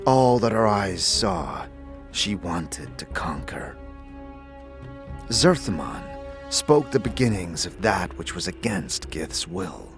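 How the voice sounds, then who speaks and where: A man playing a solemn warrior-monk mentor, speaking in a grave, formal, measured way as in a fantasy audio drama. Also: sits low, sing-song, music in the background, exaggerated voice. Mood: solemn, reverent.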